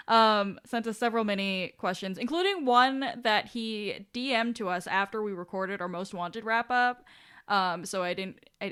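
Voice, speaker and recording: A woman's voice: mid-range voice, a bit bright, smooth. A woman co-hosting a podcast in casual chat, with a giggly, irreverent manner and loose, casual swearing. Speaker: podcast co-host reading listener questions.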